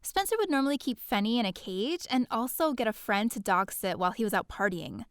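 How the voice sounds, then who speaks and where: A high, closely recorded, dry voice tells a space-opera story as a chatty young heroine, played by a woman. She is theatrical and easily exasperated, sardonic in her asides and bookish at heart. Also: animated, a little fast, smooth, dry recording, natural voice. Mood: indignant. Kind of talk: first-person scripted audio drama narration.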